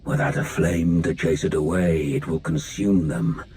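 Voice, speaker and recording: An actor playing a brooding, menacing warlord in a game cutscene, gravelly and slow, speaking in grandiose, ominous phrases. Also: male voice, very deep voice, steady tone, warm tone, a little hiss or hum, heavily acted. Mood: brooding menace. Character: brooding dark warlord, menacing male villain musing on failure. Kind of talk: dramatic video game cutscene acting.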